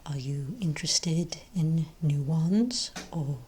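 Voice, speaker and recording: Soft, breathy, low-voiced woman in ASMR role play. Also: slow pace, a little hiss or hum. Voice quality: soft, breathy, often near-whispered. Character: friendly long-established magic wand shop owner.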